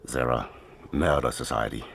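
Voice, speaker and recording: An actor playing a stern, protective older father in a radio drama, grave and warning, with a husky voice. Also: a bass voice, fairly even intonation, normal speed, fairly bright tone, slight background noise, exaggerated voice.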